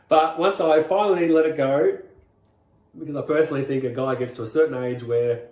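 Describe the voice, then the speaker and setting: A man's voice: a little high, moderate pace. Roomy-sounding Australian man telling stories. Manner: rambling, relaxed, many "uh"s and restarts. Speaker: rockabilly singer, guest. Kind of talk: casual interview storytelling.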